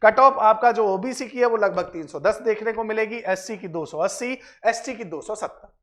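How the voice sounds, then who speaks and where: Teacher doing an exam prep lesson, medium pitch, repeats stuff and keeps asking if you follow. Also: moderate pace, fairly bright tone. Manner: repetitive, checks in with tag questions. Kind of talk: explanatory lesson to students.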